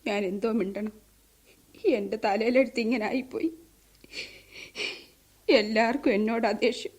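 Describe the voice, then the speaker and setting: An actress playing a distressed young wife in a radio drama, pleading plaintively in a southern Kerala Malayalam accent. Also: female voice, fairly high pitch, steady tone, moderate pace, a little mellow, breathy edge. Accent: Kerala Malayalam, southern Travancore. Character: distressed, pregnant young wife, caught between family and husband. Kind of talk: mid-century Indian radio drama acting.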